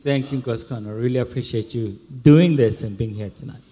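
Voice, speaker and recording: A male pastor hosting a panel on a church stage, upbeat and teasing, loudly calling people up by name. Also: medium pitch, fairly quick. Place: large church hall. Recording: long hall reverb.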